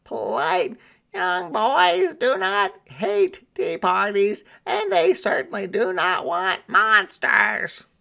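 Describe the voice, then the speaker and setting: A man's voice: sits high, huge pitch swings, vocal fry, sharp tone, voice pushed up, full character voice. A man voicing a prim, strict auntie who scolds in a haughty, disapproving manner for a children's storybook. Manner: disapproving, haughty, drawn-out delivery.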